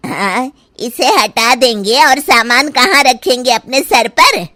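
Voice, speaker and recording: A woman voicing a fussy, easily alarmed older mother-in-law in an animated story, exclaiming and scolding. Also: sits high, slow pace, no echo, heavily acted. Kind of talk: animated Hindi moral story acting.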